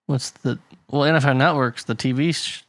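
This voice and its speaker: A man co-hosts a relaxed podcast conversation, reading out statistics and chuckling along the way. His voice is bright at a medium pitch, recorded dry and close with no room sound. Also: normal speed, no room sound. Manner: reads figures aloud, laughs often. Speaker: podcast co-host. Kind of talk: casual chat.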